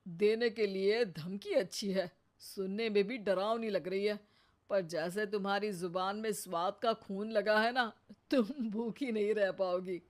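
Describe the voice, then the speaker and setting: A woman's voice: medium pitch, measured pace, natural voice. A woman voicing a teasing, sarcastic elderly father-in-law in a comic cartoon, with a wry, mocking manner.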